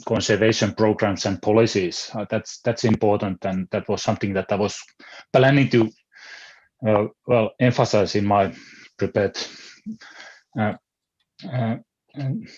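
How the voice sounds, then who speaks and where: A man's voice: lowish voice, a little mellow. A man with a Finnish accent, a native Finnish speaker, talking on an online panel in a hesitant way, restarting often as he searches for words. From Finland. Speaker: philosopher on a panel. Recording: dull lo-fi call audio.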